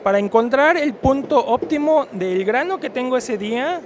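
A man's voice: extremely high pitch, expressive, moderate pace. A man, talking as a barista in an interview. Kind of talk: spoken interview. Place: busy indoor expo hall. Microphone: handheld interview microphone.

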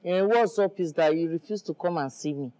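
A man's voice: a little high, fairly quick, mellow, close to an everyday voice, low-quality recording. A man playing a scolding Nigerian mother. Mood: reproachful. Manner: scolding, guilt-tripping. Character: nagging Nigerian mother wanting grandchildren.

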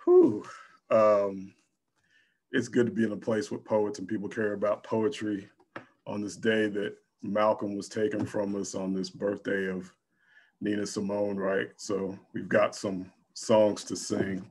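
A middle-aged man speaking and reading poetry unhurriedly, pausing between phrases, on a video call from home. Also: lowish voice, a little mellow. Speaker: poet. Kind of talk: casual introduction and poetry reading. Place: room at home. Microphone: computer mic on a video call.

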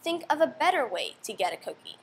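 A woman's voice: a little high, very animated, fast, slight background noise. A young woman voicing a firm but kind alligator mother in a storybook, with emphatic, instructive delivery. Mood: patient instructing.

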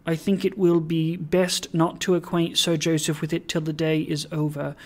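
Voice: medium pitch, level tone, moderate pace, close to an everyday voice. A reader as an agitated confidential male secretary. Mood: grave, secretive. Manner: urgent, confiding, gasping. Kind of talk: dramatised audiobook of a classic story.

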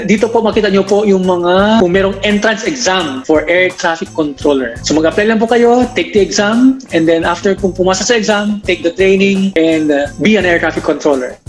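A Filipino man in his thirties giving a casual, explanatory interview over a video call from a living room. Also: high voice. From Philippines. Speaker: interview guest, air traffic control assistant. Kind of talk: casual explanatory interview in mixed Tagalog and English. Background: background music.

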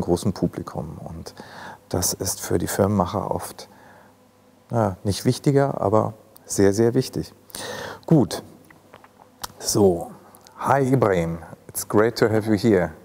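A man's voice: on the low side, creaky, mellow, breathy edge. A man in his forties with a German accent, hosting a festival film talk and asking thoughtful, hesitant questions. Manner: thoughtful, hesitant with many fillers. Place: ornate hall, indoors.

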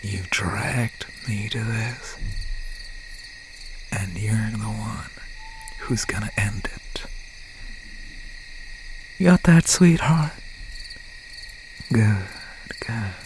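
A man playing an obsessed, menacing country slasher boyfriend in an ASMR roleplay, speaking in a breathy, halting, often whispered voice with folksy phrasing. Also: fairly low pitch. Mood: cold, possessive. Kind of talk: ASMR roleplay, slow and intimate. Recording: noisy night ambience with crickets, owl. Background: crickets, insects and an owl.

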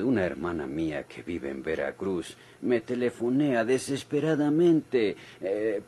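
Slow, deep-voiced, oily Mexican swindler. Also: male voice, darkish tone. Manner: hesitant, drawn-out, insinuating politeness. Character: sly, wheedling male swindler feigning politeness. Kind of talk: golden-age Mexican radio drama acting. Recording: old radio recording, faint noise.

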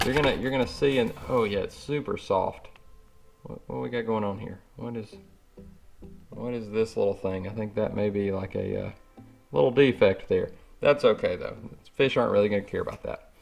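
A man's voice: lowish voice, moderate pace, gravelly, faint music behind. An American man hosting a fishing channel, talking informally to viewers in a casual unboxing review. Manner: informal, addresses viewers as y'all. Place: indoors at a cluttered worktable.

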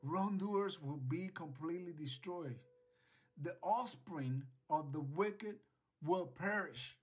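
Male online preacher, 40s, slightly high voice, earnest devotional exhortation with scripture, soft guitar music underneath. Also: takes it slowly, husky. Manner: earnest, exhorting, repeats phrases for emphasis. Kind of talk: devotional sermon to camera, scripture reading. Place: small indoor home studio.

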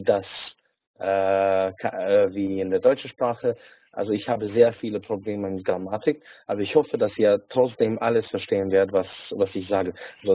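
A man from Hungary speaking with a Hungarian accent, teaching an online lesson on a video call, halting with many filler sounds and restarts. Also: fairly low pitch, no echo. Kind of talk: online lecture. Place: small indoor room. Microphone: webcam or laptop mic on a video call. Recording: tinny phone-like video call.

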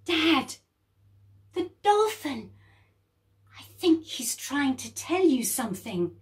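Lady doing a squeaky eager little girl, all high and breathless. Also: sing-song, takes it slowly, heavily acted. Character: excited young girl on a boat.

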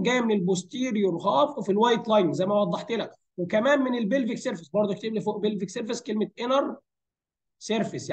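Fast, high-pitched Egyptian man teaching. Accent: Egyptian Arabic. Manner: conversational, asks and answers his own questions. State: hoarse, strained voice from fatigue. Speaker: anatomy lecturer. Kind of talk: informal tutorial explanation with English medical terms. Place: voice-over for a screen recording.

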